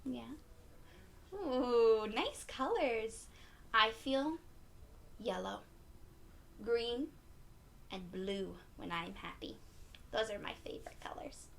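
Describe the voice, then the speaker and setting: A woman reading a picture book aloud to young children in an encouraging, expressive way, often putting questions to her listeners. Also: a little high, slow, vocal fry, long pauses, slight background noise. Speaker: children's read-aloud storyteller.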